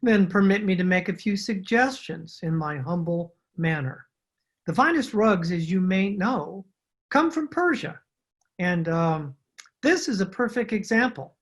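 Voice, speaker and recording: A woman's voice: medium pitch, animated, a little slow. A woman playing a thin, obsequious male rug merchant in a radio drama, speaking with formal, humble, ingratiating patter. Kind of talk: old-time radio drama acting.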